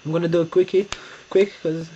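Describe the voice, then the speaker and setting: A young man from Romania with a Romanian accent, Romanian as his first language, giving a halting step-by-step folding demonstration and searching for words, sitting on a bed in his bedroom and recorded on a webcam. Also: fairly high pitch, little pitch movement, dark tone. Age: young adult. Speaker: tutorial maker. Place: bedroom, seated on a bed indoors. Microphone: webcam close-up. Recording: dull lo-fi webcam audio with faint hiss.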